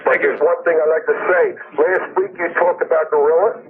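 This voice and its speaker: A man's voice: a little high, steady tone, a little fast, slight background noise. A man calling a radio show, arguing in an insistent, challenging way. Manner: insistent, challenging, tags remarks with "eh". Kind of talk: argumentative call-in chat. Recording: tinny phone line on old radio.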